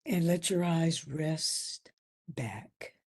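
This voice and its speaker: A woman leading a guided meditation as instructor, soft and breathy, soothing and gentle, leaving long pauses between phrases. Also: deep voice, lively intonation. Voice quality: soft, breathy, at times near whisper.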